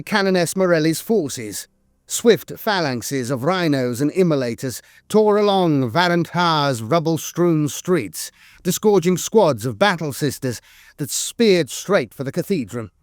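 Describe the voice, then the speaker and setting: Guy reading scifi war lore, solemn and dramatic, super lively intonation, really dry close mic. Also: on the high side, measured pace, no room sound. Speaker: lore channel narrator and host.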